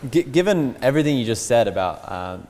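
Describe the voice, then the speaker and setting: A man posing a challenging question at a public talk, in hesitant, halting phrases. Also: medium pitch, sing-song. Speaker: audience questioner. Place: large debating hall, indoors. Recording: echoey hall with background noise.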